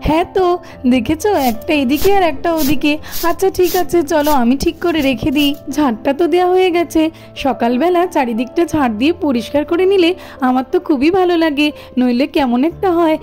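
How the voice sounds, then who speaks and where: A woman's voice: high-pitched, fairly quick, darkish tone, soft background music, close to an everyday voice. A woman voicing a caring mother who chats to herself while tidying, in a cartoon story. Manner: chatty, talks to herself. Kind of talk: cartoon voice acting.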